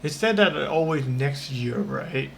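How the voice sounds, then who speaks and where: A man's voice: medium pitch, expressive, unhurried, creaky, crowd noise. A man giving casual commentary as he streams a video game. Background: game music.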